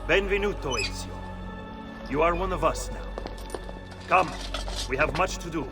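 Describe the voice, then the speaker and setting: An actor playing a composed, learned Italian Renaissance assassin in a video game, speaking formally and with measure in an Italian accent. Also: male voice, a little high, normal speed, over music, close to an everyday voice. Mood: welcoming. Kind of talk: dramatic video game cutscene acting. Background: loud cinematic score.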